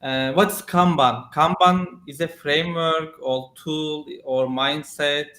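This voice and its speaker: A man's voice: a little high, fairly even intonation, slow, sharp tone. A man hosting an interview in English, recorded with a roomy echo. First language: Turkish. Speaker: interview host. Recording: roomy, echoey sound.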